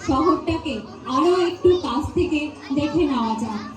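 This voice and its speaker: A woman's voice: high voice, animated, normal speed. A woman giving scripted narration as a narrator. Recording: echoey, distant, faint music behind.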